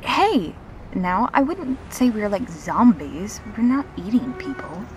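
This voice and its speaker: Woman acting a slow-talking, reassuring undead woman. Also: a little high, sing-song, slight background noise. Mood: patient, earnest. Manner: halting, earnest, soft-spoken pauses. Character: Serena, gentle reassuring undead revenant woman. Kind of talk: intimate audio roleplay acting.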